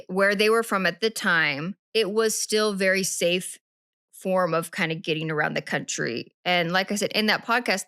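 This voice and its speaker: A woman's voice: fairly low pitch, fairly bright tone, dry recording, clean studio sound. A woman co-hosting a true-crime podcast, recapping a case in a chatty, rambling way and often correcting herself. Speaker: podcast co-host.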